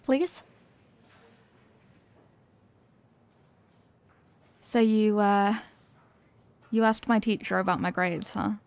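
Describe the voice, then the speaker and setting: A woman's voice: medium pitch, fairly even intonation, measured pace, clean tone, no room sound, natural voice. A woman playing an evasive, flustered popular cheerleader being tutored, in an acted ASMR roleplay with a hesitant, defensive delivery. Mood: nervous, evasive. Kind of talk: ASMR roleplay, acted one-sided conversation.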